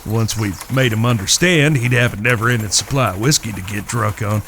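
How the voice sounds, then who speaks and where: Male actor as folksy, courteous Old West gunslinger, forceful bright tone, slight background noise. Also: on the low side, vocal fry. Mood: amused storytelling. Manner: folksy, formal old-fashioned courtesy, drawn-out emphatic phrasing. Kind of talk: Western audio drama acting.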